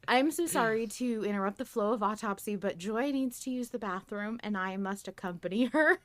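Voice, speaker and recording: A woman's voice: mid-range voice, brisk, fairly bright tone. A woman hosting a podcast in a casual, conversational style. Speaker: podcast host.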